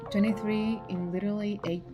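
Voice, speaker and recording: A woman's voice: mid-range voice, fairly even intonation, a little fast, music in the background. A woman in her twenties chatting casually with her livestream viewers, teasing and full of filler words. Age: 20s. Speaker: animator streaming to chat.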